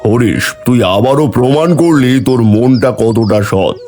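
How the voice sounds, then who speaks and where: Guy as a kindly solemn holy man in a cartoon, warm dark voice, mid pitch. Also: a little slow, natural voice. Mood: solemn, approving. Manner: solemn, benevolent. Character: solemn male holy sage blessing an honest villager.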